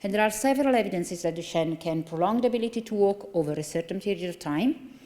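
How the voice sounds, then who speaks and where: A woman's voice: mid-range voice, lively intonation, fast talker, warm tone. Italian-accented woman lecturing in a hall. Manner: earnest, explanatory, with frequent fillers and restarts. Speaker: consultant neurologist. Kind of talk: conference lecture. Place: large conference hall. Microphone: lectern microphone. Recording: long reverb of a large hall.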